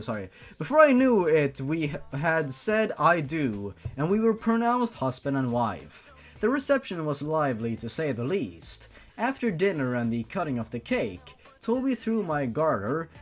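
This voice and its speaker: A man reading a story aloud for comedy, breaking off into mocking, incredulous asides. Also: on the high side. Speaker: reader and commentator. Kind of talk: sarcastic comedy reading of fan fiction.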